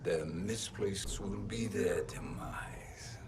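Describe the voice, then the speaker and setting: A man's voice: sits low, takes it slowly, noisy background. An actor playing a smug, calculating sorcerer villain in a video game, formal and menacing in his delivery. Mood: sly, menacing. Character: scheming male sorcerer villain, smug, calculating, coldly ambitious. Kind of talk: video game cinematic acting.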